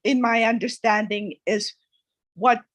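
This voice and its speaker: Slow, halting woman in her sixties. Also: on the high side, steady tone, a bit bright, no echo. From Thailand. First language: Thai. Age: 60s. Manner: halting, with many fillers. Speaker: new participant. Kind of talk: casual discussion.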